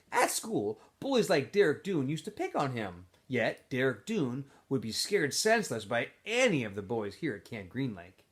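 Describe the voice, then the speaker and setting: A man, a teacher reading a novel aloud to students in an expressive, informal storytelling style. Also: medium pitch.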